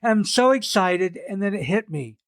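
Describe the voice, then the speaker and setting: Male podcast co-host, everyman; wry, relaxed, a touch high, dry studio sound. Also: normal speed, no room sound. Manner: wry, self-deprecating, relaxed storytelling. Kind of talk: casual podcast chat.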